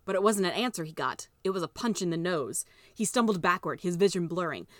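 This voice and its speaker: Low-pitched woman narrating a story. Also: animated, a little fast, fairly bright tone, dry recording, clean studio sound.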